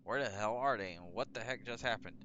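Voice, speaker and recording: A man's voice: lowish voice, fairly quick, pushed and bright, dull audio, a little hiss or hum. A man reading a visual novel aloud as a let's player, stumbling over words now and then, with casual asides. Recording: music behind the voice. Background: music.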